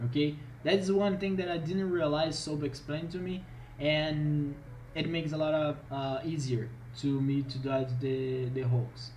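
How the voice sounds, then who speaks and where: A man's voice: fairly high pitch, fairly even intonation, unhurried, darkish tone, slight background noise, rough recording. A man from Brazil with a Brazilian Portuguese accent gives a casual game tutorial, hesitantly rephrasing as he goes. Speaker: gaming video creator.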